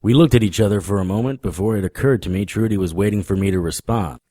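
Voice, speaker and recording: A man acts out a hard-boiled detective of the supernatural in a noir audio drama. The voice sits low and is recorded very dry. He tells it with deadpan, sardonic wit and a world-weary air. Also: quick pace, dry recording, close to an everyday voice. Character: wry, world-weary male supernatural private detective narrator. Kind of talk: noir radio drama narration.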